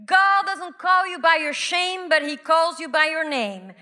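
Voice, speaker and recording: A woman from Belgium preaching a sermon, repeating phrases and swinging from loud declarations to hushed whispers. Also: very high voice. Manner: slow, deliberate delivery with repeated phrases.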